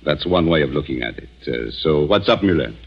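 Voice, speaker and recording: Very deep-voiced, secretive country doctor. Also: male voice, animated, husky, full character voice. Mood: businesslike. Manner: measured, evasive, firm. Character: secretive, commanding French country doctor and new husband. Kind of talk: 1940s radio drama acting. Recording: muffled, noisy 1940s radio recording.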